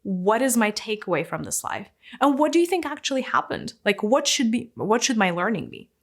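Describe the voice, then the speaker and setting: A woman in her thirties giving a casual, animated talk to camera in a room, now and then dropping to a near-whisper for emphasis. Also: fairly high pitch, fairly quick. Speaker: spiritual teacher. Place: indoor room with plants and a window. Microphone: fixed camera, medium framing.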